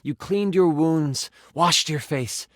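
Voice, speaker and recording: A man's voice: on the high side, takes it slowly, fairly bright tone, dry recording, clean studio sound. A man playing a playful game master, narrating a fantasy tabletop adventure in a theatrical, dramatic storytelling style. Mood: wistful. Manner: theatrical, second-person storytelling.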